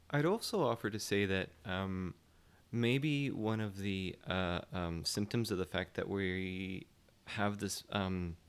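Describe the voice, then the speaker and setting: Man, lowish voice, smooth and resonant, earnest, hesitant, casual panel discussion. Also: slow pace. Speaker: theatre playwright guest.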